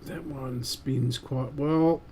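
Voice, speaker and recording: A man giving unscripted, halting commentary as host of a repair stream, muttering to himself while he works. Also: mid-range voice, steady tone, darkish tone, a little hiss or hum.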